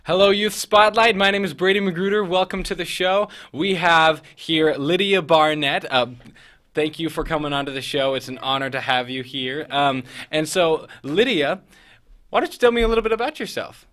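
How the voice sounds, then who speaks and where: A man's voice: on the high side, moderate pace, fairly bright tone. A young man hosting a youth interview show, joking and hesitant, with lots of fillers. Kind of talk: casual interview.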